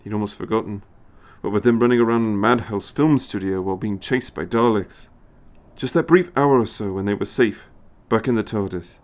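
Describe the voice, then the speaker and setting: A man's voice: lowish voice, moderate pace. A man reading scripted story narration as the narrator.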